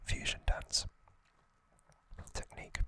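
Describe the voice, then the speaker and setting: Slow whispering man, ASMR style. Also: pushed and bright. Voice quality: breathy, unvoiced whisper. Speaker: ASMR creator, host. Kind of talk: ASMR whispered storytelling and trivia.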